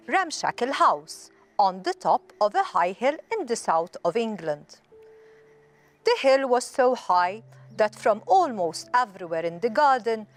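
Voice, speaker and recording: Female storybook reader, unhurried and expressive, with animated melody for young listeners. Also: mid-range voice. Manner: clear deliberate storytelling with expressive emphasis. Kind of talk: children's story narration, read aloud.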